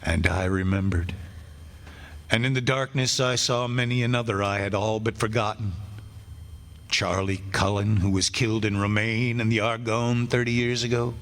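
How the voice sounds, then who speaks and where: A man acting a condemned man who wanders among ghosts, narrating a radio drama in a slow, solemn way. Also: on the low side, fairly even intonation, a bit bright. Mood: haunted. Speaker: radio play actor. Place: stage performance.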